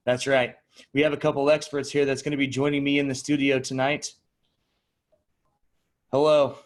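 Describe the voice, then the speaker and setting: A man's voice: on the high side, lightly acted. A man playing a mock-serious cable news anchor in parody news style, hosting a debate.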